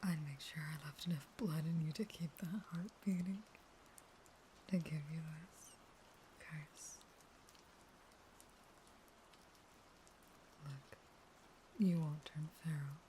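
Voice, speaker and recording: A woman playing a starving, caged vampire lover in an intimate audio roleplay, breathy and mostly whispering, unstable, possessive, pleading and threatening. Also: deep voice, dark tone, slight background noise, rough recording. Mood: feverish pleading.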